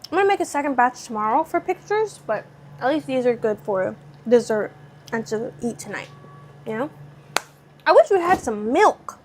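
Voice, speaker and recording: A bubbly young woman with a light Californian accent and a bright, high voice talks easily with her viewers as she cooks at home. She slips between reading their comments and chatting about the food. A faint hum of the room sits under her voice. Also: sing-song, moderate pace. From Southern California. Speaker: vlogger cooking live and answering chat. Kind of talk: casual live-stream chat. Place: home kitchen.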